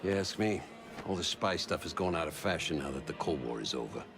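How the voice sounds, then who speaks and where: An actor playing a smug, wry spy fixer with a gravelly voice and a dry, knowing delivery in a video game cutscene. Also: male voice, very deep voice, lively intonation, brisk. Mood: wry, businesslike. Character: smug, wry old-school spy fixer doing shady deals. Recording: loud music behind the voice.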